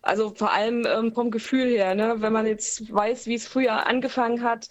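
Relaxed German woman musician chatting. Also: fairly high pitch, moderate pace. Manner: relaxed, thoughtful, many fillers. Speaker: singer-songwriter guest. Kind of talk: casual interview talk. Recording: remote call line.

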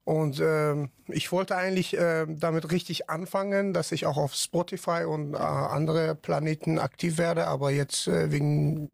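A man from Iran, a podcast guest talking haltingly with many fillers. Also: a little high, fairly even intonation, gravelly, no echo, clean studio sound. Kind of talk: casual podcast interview.

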